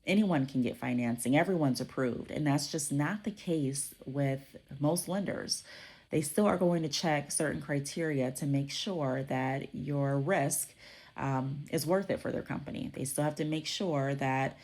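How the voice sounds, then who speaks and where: Low-voiced congested woman podcast host. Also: measured pace, darkish tone. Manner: conversational, frequent "um" and "okay?" check-ins. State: congested from a cold. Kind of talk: solo podcast explainer.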